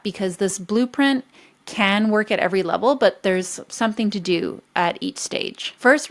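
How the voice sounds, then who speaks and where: An emphatic woman coach delivers a motivational business class in an ordinary mid-range voice. She is direct and persuasive and speaks straight to her viewers. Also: medium pitch. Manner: emphatic, direct, addresses audience as guys. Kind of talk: motivational masterclass teaching.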